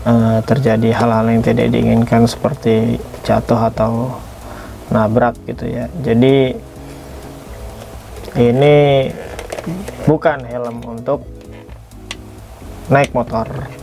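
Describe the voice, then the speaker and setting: Man, even intonation, medium pitch, casual hesitant reviewer, faint music behind. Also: takes it slowly, gravelly, long pauses. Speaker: toy reviewer, video host. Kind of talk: casual unscripted product review.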